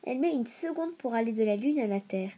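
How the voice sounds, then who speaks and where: A woman's voice: on the high side, animated, a little fast, warm tone, a little hiss or hum. A woman with a stuffy nose from a cold hosting a casual educational video, reading aloud and breaking into informal asides as she stumbles over long words. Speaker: video host.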